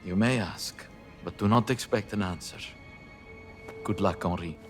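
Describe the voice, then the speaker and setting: Priest over clear music. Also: male voice, low voice, unhurried. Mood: guarded, kindly. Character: gentle, secretive Italian priest, a learned ally. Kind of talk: cinematic game dialogue.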